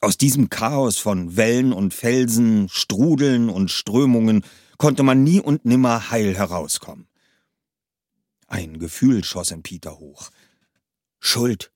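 A man's voice: fairly low pitch, slow, darkish tone, dry recording, clean studio sound. A man reading a suspense story aloud in a tense, dramatic narration style. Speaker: reader. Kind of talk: audiobook narration.

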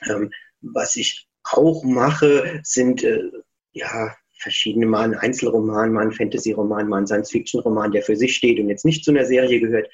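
A man's voice: lowish voice, fairly even intonation, moderate pace, lo-fi sound. A middle-aged man talking as an author guest in a casual interview, chatty, reflective and self-deprecating.

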